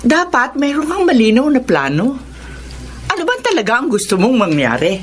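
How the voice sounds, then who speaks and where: A woman's voice: high-pitched, huge pitch swings, normal speed, music in the background. An actress playing a kindly, teasing older mother with a Filipino accent in a radio drama. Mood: teasing, insistent. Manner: warm, nudging, motherly.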